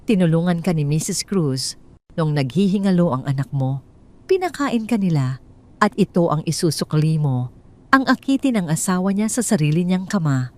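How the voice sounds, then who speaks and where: A woman voicing an enraged grown man who scolds his sister in a low, contemptuous voice. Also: big pitch swings, moderate pace, darkish tone. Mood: scolding. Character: furious older brother, grown man, harsh.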